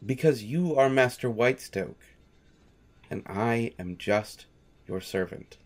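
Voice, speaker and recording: Audio roleplay: devoted, wry manservant played by a man, slow delivery with very animated intonation, courteous and patient. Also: medium pitch. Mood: fond exasperation. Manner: polite, dry, hesitant. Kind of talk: scripted audio roleplay, speaking directly to listener.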